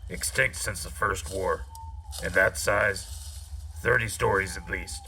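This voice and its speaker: A man's voice: sits low, unhurried, gravelly, pushed and bright, a little hiss or hum, exaggerated voice. A man acting a guarded, battle-worn soldier in a sci-fi audio drama, terse and brooding. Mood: grim.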